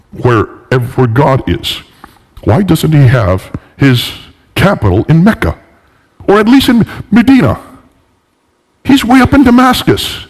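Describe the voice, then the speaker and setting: A man's voice: mid-range voice, darkish tone. A man with a cold giving an emphatic public lecture, teaching through pointed rhetorical questions. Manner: emphatic, didactic, questioning the audience. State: has a bad cold and fever. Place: large reverberant indoor room. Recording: echoey hall with long reverb.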